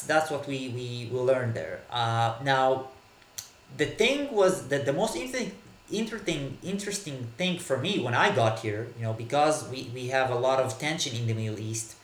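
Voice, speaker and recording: A man from Israel, a native Hebrew speaker, answering interview questions in a halting way with many fillers and repeated words. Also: mid-range voice, lively intonation, fairly bright tone, reverberant. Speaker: podcast guest, author. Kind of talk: casual interview talk. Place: Berlin.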